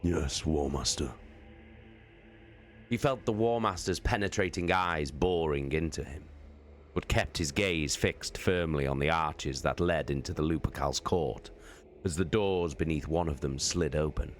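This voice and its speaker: Very deep-voiced man reading slowly. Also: slight background noise. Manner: slow, deliberate, drawn-out phrasing. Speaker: streamer reading aloud. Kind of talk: dramatic audiobook reading. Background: faint background music.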